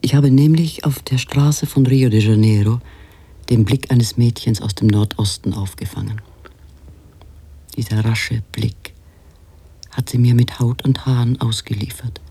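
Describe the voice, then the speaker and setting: Musing author, radio play, dark mellow tone, very husky, half-whispered, mid pitch. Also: male voice, fairly even intonation, unhurried. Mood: hushed, intense. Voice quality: hushed, breathy, often half-whispered. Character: musing male author, the writer-narrator's second inner voice. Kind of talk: literary radio play monologue.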